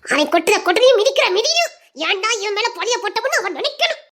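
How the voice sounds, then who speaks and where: Shrill, menacing mother played by an actress. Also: very animated, fast talker, a bit bright, full character voice. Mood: furious. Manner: scolding, threatening, vicious. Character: furious, menacing middle-aged village mother. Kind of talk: melodramatic drama acting.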